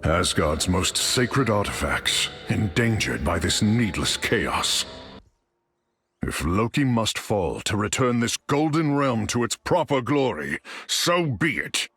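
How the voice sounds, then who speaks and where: An actor playing a noble, solemn god prince in a video game, with grave, stately, old-fashioned formal speech. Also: male voice, lowish voice, sing-song, slow, fairly bright tone, faint music behind, heavily acted. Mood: resolute, solemn. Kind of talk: epic video game voice acting. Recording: reverberant hall-like game audio.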